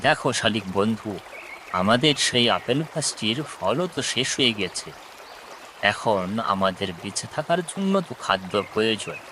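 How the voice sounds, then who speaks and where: A man's voice: lowish voice, sharp tone, a little hiss or hum. A man voicing an earnest, reassuring pigeon friend in a children's cartoon. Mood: earnest, persuasive.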